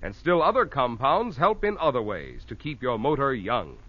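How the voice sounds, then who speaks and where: A man's voice: medium pitch, sing-song, normal speed. A male announcer doing 1940s radio sponsor announcements in a polished, emphatic style. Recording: dull old 1940s radio recording.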